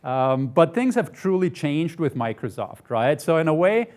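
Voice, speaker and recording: A man's voice: on the high side, sing-song, normal speed, a little mellow. A middle-aged man from Austria giving an informal technical presentation in a conversational way, often tagging statements with "right?". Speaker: conference presenter, software developer. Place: indoor studio stage with desk and laptop.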